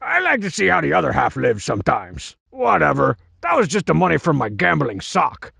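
An actor playing a cocky, scheming cartoon masked wrestler in a video game, boastful and mocking, with a gravelly, raspy voice. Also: male voice, fairly high pitch, wildly swooping pitch, normal speed, pushed and bright, no echo, studio quality, heavily acted. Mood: smug. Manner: boastful, mocking, swaggering wisecracks. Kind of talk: video game character barks.

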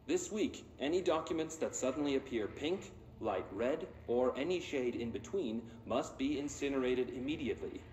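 An actor playing an officious male public-address announcer at a bureaucratic agency in a game, formal and deadpan. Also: medium pitch, normal speed, hardly any pauses, reverberant, a little hiss or hum, natural voice. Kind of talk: scripted PA announcement.

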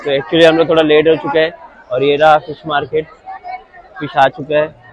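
A man's voice: fairly high pitch, level tone, normal speed, highs cut off. A man with a Mumbai accent, chatting casually as he walks through a busy outdoor fish market for his vlog. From India. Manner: chatty, switches between Hindi and Marathi with English words. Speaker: vlogger. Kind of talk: casual vlog narration, walking tour.

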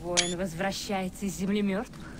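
An actress playing a commanding young warrior priestess in a video game, speaking in a solemn, ceremonial way. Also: female voice, mid-range voice, steady tone, normal speed, over loud music, natural voice. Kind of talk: video game cutscene acting.

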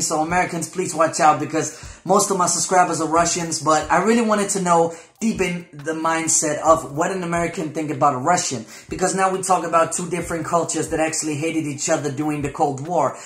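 A man in his twenties giving blunt, opinionated commentary with casual swearing as host of a reaction video. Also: a little high. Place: small room indoors, plain wall. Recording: roomy echo, faint music.

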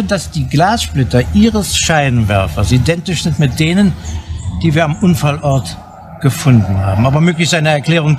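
A man as a sharp, accusing police investigator. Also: fairly high pitch, close to an everyday voice. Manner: pointed, sarcastic questioning. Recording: hissy old TV soundtrack.

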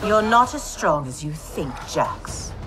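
An actress playing a commanding, mocking villainess who speaks with haughty menace in an action video game. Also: female voice, deep voice, big pitch swings, slow, exaggerated voice, rough recording. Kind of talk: cinematic action game voice acting. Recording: loud music bed behind the dialogue.

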